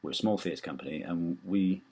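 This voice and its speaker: A man's voice: low voice, brisk, gravelly, a little mellow, breathy edge. A man giving a chatty, self-deprecating appeal on behalf of a small theatre company. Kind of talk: informal spoken appeal.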